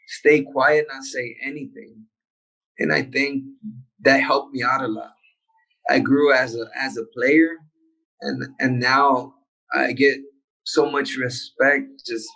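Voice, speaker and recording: A young man, a soccer player born in the United States, talking in a relaxed and halting way in a casual interview. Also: medium pitch, creaky, poor audio. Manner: laid-back, halting, with many restarts. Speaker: professional soccer player, guest.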